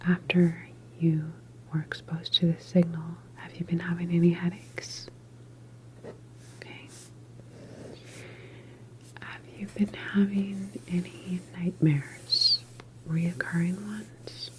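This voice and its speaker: A woman performing an ASMR medical roleplay as a calm government doctor, speaking in a deliberate, reassuring clinical manner. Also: on the low side, a little hiss or hum, low-quality recording. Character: calm female government doctor examining a patient.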